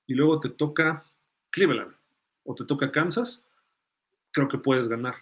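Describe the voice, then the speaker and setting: A man's voice: medium pitch, a little slow, fairly bright tone. A man hosting a live sports fan podcast, chatting casually and thinking aloud as he gives his takes and answers listener comments.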